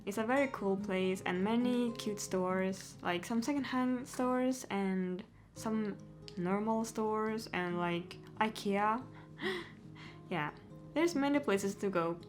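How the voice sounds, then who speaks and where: Young girl rambling to camera, giggly, lots of likes, soft guitar music under it. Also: medium pitch, slow pace. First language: Swedish. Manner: chatty, giggly, lots of "like" and "yeah". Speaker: vlogger. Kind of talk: casual Q&A chat to camera.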